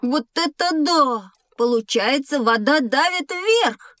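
High-pitched, cheeky young schoolboy. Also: female voice, expressive, slow, fairly bright tone, no room sound, studio quality, heavily acted. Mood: amazed, puzzled. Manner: exclamatory, pert, questioning. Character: curious, cheeky schoolboy, quick to judge. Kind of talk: children's educational audio drama acting.

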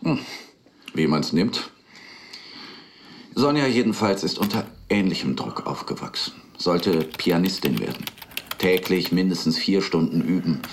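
A melancholic middle-aged man in a naturalistic radio play. His voice is very low with a gravelly creak. He confides in a hesitant, brooding, resigned way, over audible background noise. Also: exaggerated voice. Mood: bitter, reflective. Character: weary, melancholic dentist confiding in his rabbi.

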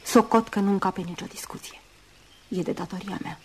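An actress playing a dutiful, jealous woman in a radio drama, earnest and urgent, often hushed. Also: medium pitch, steady tone, close to an everyday voice. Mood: wounded, jealous. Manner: earnest, urgent, sometimes dropping to hushed tones. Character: dutiful, jealous woman nursing a rival, intense and wounded. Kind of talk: Romanian radio drama acting. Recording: noisy old radio drama recording.

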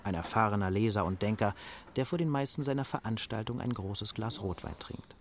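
A man's voice: lowish voice, fairly quick, slight background noise, natural voice. A man playing a sober, detached narrator, reading scripted present-tense radio drama narration.

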